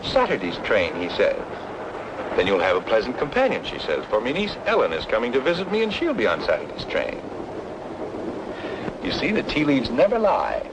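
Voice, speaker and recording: An actor playing a charming, smooth-talking man with a playful, teasing, theatrical manner in an old radio noir drama. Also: mid-range voice, huge pitch swings, moderate pace, muffled recording, background noise. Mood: teasing storytelling. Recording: vintage radio drama, music behind.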